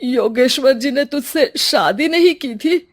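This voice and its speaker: A woman's voice: sits high, lively intonation, measured pace. A woman voicing a remorseful, put-upon old father in a cartoon moral story, pleading and melodramatic.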